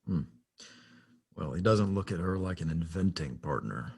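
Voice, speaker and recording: Actor as smitten man, teasing, casual, raspy, lively intonation, romantic script read. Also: low voice, moderate pace, exaggerated voice. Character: teasing, awkwardly smitten man named Wyatt. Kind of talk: table read of a screenplay, romantic banter.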